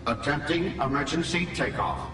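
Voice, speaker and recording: A man's voice: a little high, animated, normal speed, natural voice. A man voicing an impassive spaceship computer in a video game, announcing in formal, clipped phrases. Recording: echoey, distant ship announcement over music.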